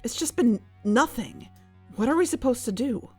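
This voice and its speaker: A woman's voice: a little high, animated, normal speed, husky, faint music behind. A woman playing an anxious, cautious and conflicted investigator in a naturalistic audio drama. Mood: frustrated.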